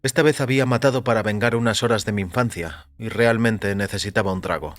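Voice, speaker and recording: A man reading a first-person short story as a narrator, in a measured, deliberate way. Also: on the low side, fairly bright tone, no echo, studio quality. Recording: music under the voice. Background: background music.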